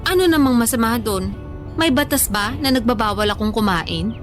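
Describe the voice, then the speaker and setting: An actress performs a young Filipino woman who loves her food and refuses to be told otherwise. Her voice sits high, whiny and chatty, always on the defensive. It is radio drama dialogue with music clearly underneath. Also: expressive, normal speed, close to an everyday voice. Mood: indignant.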